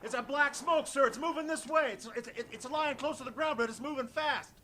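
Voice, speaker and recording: Man voicing a panicky artillery spotter, stammering, frantic, very high pitch, sharp tone. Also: fairly quick, slight background noise. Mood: alarmed. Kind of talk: amateur radio drama.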